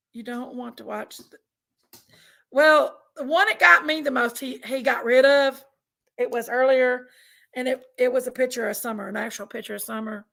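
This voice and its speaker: A woman with a Southern American accent, raspy and congested from allergies, chatting casually on a livestream and reading out viewers' names. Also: high voice, pushed and bright. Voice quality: raspy, gravelly. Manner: drawling, reads out chat names. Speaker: livestream host.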